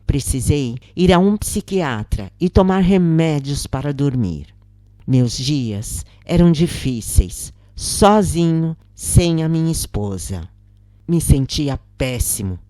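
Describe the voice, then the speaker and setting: A woman narrates a scripted story dramatically. Her voice is low and unhurried, with lively rises and falls in intonation. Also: dry recording.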